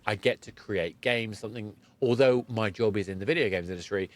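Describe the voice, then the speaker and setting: A man's voice: fairly low pitch, a little fast. A man hosting a conversational podcast interview, often repeating and rephrasing his words as he goes. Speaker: podcast host.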